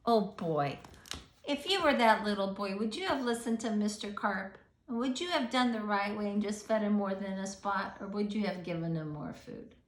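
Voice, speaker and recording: A woman's voice: mid-range voice, lively intonation, normal speed, reverberant, rough recording. A woman reading a picture book aloud to children, expressively, with questions and asides to her young listeners.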